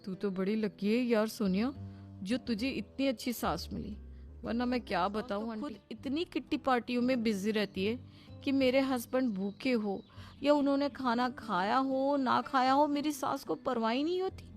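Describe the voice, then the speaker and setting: Woman playing a snobbish young friend, highish voice. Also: moderate pace, faint music behind, close to an everyday voice. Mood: complaining. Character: snobbish young modern kitty-party friend in a cartoon.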